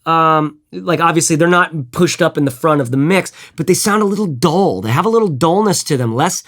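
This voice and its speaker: A man with a fairly high-pitched voice talks through his gear review in a relaxed, off-the-cuff way. He rambles, trips over his own restarts and drops the odd swear word. Now and then he hums a bit of music to show what he means. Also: brisk. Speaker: headphone reviewer. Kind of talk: casual gear review chat.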